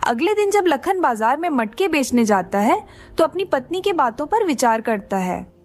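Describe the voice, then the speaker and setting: High-pitched woman narrating a children's story. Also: sing-song, normal speed, a little mellow.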